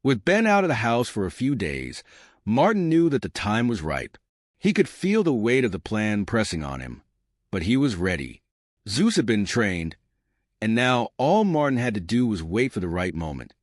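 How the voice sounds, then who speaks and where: Animated male audiobook narrator, dry sound. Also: fairly low pitch, normal speed, no echo. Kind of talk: scripted suspense narration.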